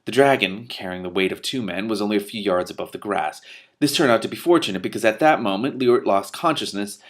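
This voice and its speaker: Guy with a lowish voice reading a book out loud, kinda loose, tosses in little comments. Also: brisk. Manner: animated in dialogue, stumbles and rereads. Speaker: reader giving commentary on a book. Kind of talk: expressive storybook narration with off-the-cuff asides.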